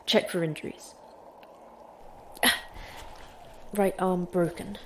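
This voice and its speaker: An actress playing an injured, terse manager, deadpan and clipped through labored breathing, talking to herself in a horror audio drama. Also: female voice, on the low side, takes it slowly, slight background noise. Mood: pained, methodical. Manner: clipped, deadpan, listing to self. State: hurt, labored breathing, sighing. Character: injured, terse, darkly sardonic manager fighting to stay focused.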